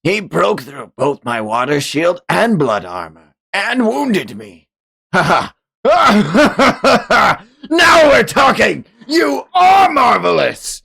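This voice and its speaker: A man's voice: sits high, sing-song, slow pace, gravelly, sharp tone, breathy edge, voice pushed up, full character voice. A man voicing a cocky, bloodthirsty fantasy fighter in a taunting, gleeful, theatrical comic read-aloud.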